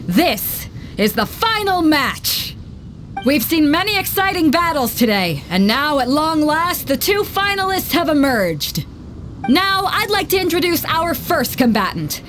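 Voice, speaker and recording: A brash, lively ship captain. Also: female voice, high-pitched, a little slow, slight background noise, heavily acted. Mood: announcing. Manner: brash, commanding, announcer-like. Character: bold female ship captain, brash and confident. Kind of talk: video game voice acting. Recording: game music underneath.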